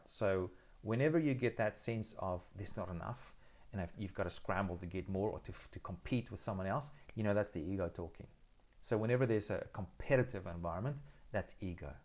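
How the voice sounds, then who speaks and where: Low-voiced man hosting a live Q&A. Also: darkish tone. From South Africa. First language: Afrikaans. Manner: thoughtful, hesitant, many fillers and restarts. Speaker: livestream host answering viewer questions. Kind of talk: casual live Q&A. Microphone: phone.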